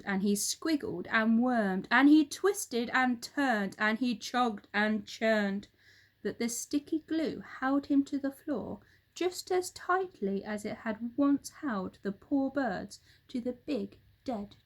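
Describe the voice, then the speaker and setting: A woman storyteller reading a children's book aloud with expressive narration. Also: a little high, slow.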